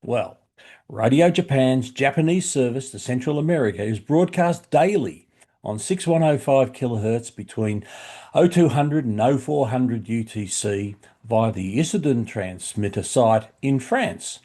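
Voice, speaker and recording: Australian male presenter, mellow and slightly rough-voiced, mid pitch, instructive scripted narration. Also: expressive, measured pace. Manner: instructive, uses rhetorical questions. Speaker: presenter of a shortwave radio listening channel.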